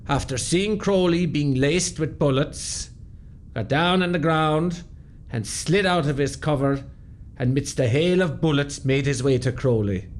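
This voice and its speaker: An actor playing an Irish veteran officer who recounts a battle in a radio play, grave and measured, with an Irish accent. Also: male voice, fairly high pitch, slight background noise, lightly acted. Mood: intense. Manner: measured, storytelling. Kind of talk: dramatic first-person radio narration.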